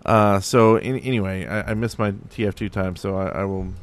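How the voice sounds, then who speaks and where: A man's voice: on the low side, fairly even intonation, moderate pace, smooth. A man hosting a gaming podcast in casual, wry, rambling banter. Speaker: podcast host.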